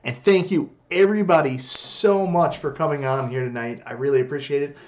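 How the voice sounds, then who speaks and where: A man's voice: a little high, brisk. A Canadian man in his forties with a light accent, casually chatting on a live stream, greeting viewers and joking about his own skills. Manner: chatty, greets viewers by name, self-deprecating.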